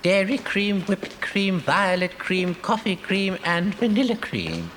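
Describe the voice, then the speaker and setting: Slow-talking old grandfather. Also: high voice, animated, a bit bright, slight background noise, heavily acted. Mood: curious. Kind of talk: children's audio dramatisation.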